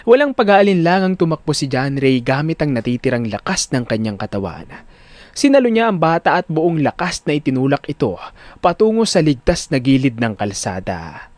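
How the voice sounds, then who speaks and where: Madamdaming lalaking tagapagsalaysay, pabago-bago ang tono. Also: male voice, a little high, big pitch swings, moderate pace, a little mellow, dry recording. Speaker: narrator. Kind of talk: dramatic scripted story narration.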